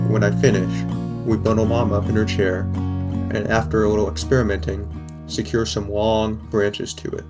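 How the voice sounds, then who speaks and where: A man's voice: fairly low pitch, natural voice. A man playing a wry, burdened teenage boy who narrates an audio drama in a plain, understated way. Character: sixteen-year-old boy, wry, burdened, caring narrator. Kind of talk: first-person scripted narration, audio fiction.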